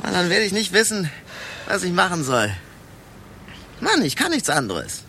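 Cheeky young courier, wildly animated. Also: male voice, sits high, normal speed, slight background noise, full character voice. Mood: chatty, wistful. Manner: casual, blunt, slangy. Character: cheeky, blunt, eager young male city courier. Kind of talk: radio play acting.

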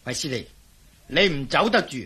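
High, excitable Cantonese intelligence officer. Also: male voice, normal speed, husky, exaggerated voice. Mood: insistent, frustrated. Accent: Hong Kong Cantonese. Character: pushy, self-important male intelligence officer. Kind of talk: Cantonese radio drama acting. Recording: loud vehicle noise behind the dialogue.

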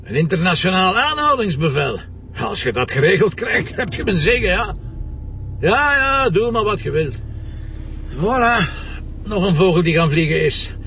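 An actor playing an impatient, sardonic police commissioner with a Flemish accent, brusque and pressing in a TV detective drama. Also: male voice, high-pitched, sing-song, normal speed, sharp tone, heavily acted. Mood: exasperated, urgent. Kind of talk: naturalistic TV detective drama dialogue. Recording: muffled distant sound with background noise.

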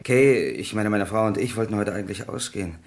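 A man's voice: on the low side, fairly quick, creaky. A man acting a disgruntled, puzzled lawyer new to his firm in a 1960s German radio play, grumbling and questioning. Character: disgruntled young male lawyer, puzzled newcomer.

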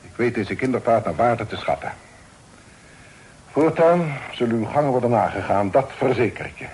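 A man's voice: medium pitch, animated, normal speed, a little mellow, husky. A man playing a stern, suspicious father and magistrate in a Dutch radio drama, speaking in a formal, reproachful way. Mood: stern, indignant. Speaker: radio play actor. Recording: faintly noisy old radio play recording.

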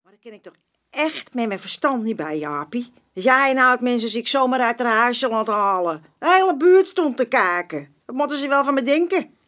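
Here is a proud old neighbourhood woman with a big mouth and a rather high voice. She talks bluntly, quick to take offence, in the style of a radio play. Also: lively intonation, a bit bright. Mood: indignant, scornful. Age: older. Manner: indignant, blunt, colloquial. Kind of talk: Dutch radio drama acting.

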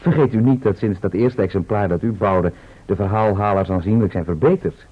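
Male radio-play role: practical organiser from the future, explanatory, Netherlands Dutch, roughened voice, narrow old-radio sound. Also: lowish voice, fairly quick. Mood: explaining. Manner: explanatory, matter-of-fact. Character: matter-of-fact male travel organiser from the future. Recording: thin, band-limited old radio recording.

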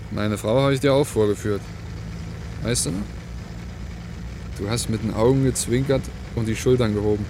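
An actor playing a talkative, teasing truck driver with a folksy manner in a radio play. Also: male voice, lowish voice, normal speed, long pauses, natural voice. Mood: reminiscing. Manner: folksy, talkative, tags sentences with questions. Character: chatty, teasing truck driver and family man. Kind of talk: German radio play acting. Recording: clear vehicle and outdoor noise behind.

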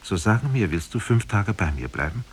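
A man's voice: low voice, big pitch swings, normal speed, slight background noise. A man voicing a lonely captive princess who speaks formally and earnestly in a 1950s radio play. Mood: earnest, sad. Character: lonely captive young princess, courteous and sad. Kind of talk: 1950s German radio drama storytelling.

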